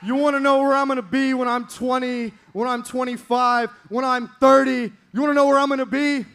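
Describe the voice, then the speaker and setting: Arrogant teenage man, high voice. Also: little pitch movement, a little fast, clean tone. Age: teens. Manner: arrogant, boastful, yelling at the crowd. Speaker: wrestler. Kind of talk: wrestling promo. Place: indoor arena.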